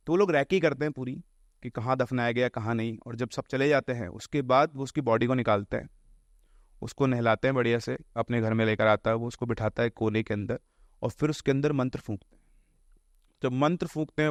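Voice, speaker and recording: A man's voice: medium pitch, dry recording. Man, casual horror storyteller, explanatory, English words mixed in, close dry recording. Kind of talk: casual spoken storytelling of ghost tales.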